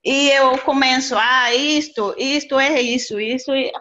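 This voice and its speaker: A middle-aged woman with a Costa Rican Spanish accent, a language student chatting haltingly as she searches for words on a video call. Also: high-pitched, fairly even intonation, over a noisy crowd. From Costa Rica. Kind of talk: casual lesson conversation. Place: indoor room, video call. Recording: dull lo-fi video-call audio.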